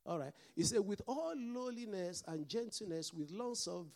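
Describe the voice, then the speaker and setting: A man's voice: sits high, animated, normal speed, gravelly, a little mellow. A man with a mixed Nigerian and Scottish accent, preaching a sermon as pastor and calling out to the congregation for responses.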